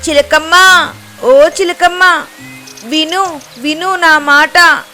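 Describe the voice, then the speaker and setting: Woman playing a loud, lazy, grumbling cartoon crow. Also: very high-pitched, fairly even intonation, drawn-out words, pitched above the performer's own voice, full character voice. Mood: whining, pleading. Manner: grumbling, exclaiming. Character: lazy, self-pitying male crow who sponges off others. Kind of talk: children's cartoon story voice. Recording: music behind the voice.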